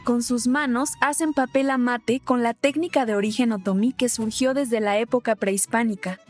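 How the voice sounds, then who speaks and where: A woman reading a scripted documentary narration in a measured way. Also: on the high side, steady tone, soft background music.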